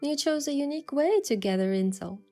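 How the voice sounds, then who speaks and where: A woman's voice: high voice, lively intonation, a little fast, darkish tone. A woman playing a thoughtful, affectionate young heroine, narrating a romance game story in the first person with a reflective manner. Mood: narrating, earnest. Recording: music behind the voice.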